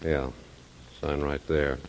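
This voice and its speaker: A businesslike delivery man in a 1940s radio play. His voice is extremely low, and the old recording carries faint noise. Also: flat delivery, slow pace. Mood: brisk. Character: brisk, businesslike delivery messenger. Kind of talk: 1940s radio drama acting.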